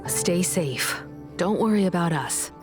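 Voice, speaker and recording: Level-headed strategist, lowish voice, composed, earnest, anime game, music behind. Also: female voice, expressive, slow. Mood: composed, serious.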